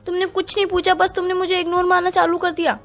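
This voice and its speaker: A woman's voice: very high voice, monotone, fast talker, a bit bright, faint music behind. A woman with an Indian accent playing a hurt, apologetic young student girlfriend, pleading in a phone-call romance drama. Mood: hurt, protesting. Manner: pleading, plaintive.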